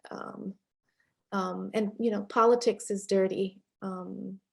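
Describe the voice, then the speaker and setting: A middle-aged woman filmmaker answering interview questions in a reflective way, pausing often with fillers and restarts. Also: mid-range voice, steady tone, creaky. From Panamanian and Haitian family background. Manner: thoughtful, many fillers and restarts, occasional laughs. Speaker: film director being interviewed.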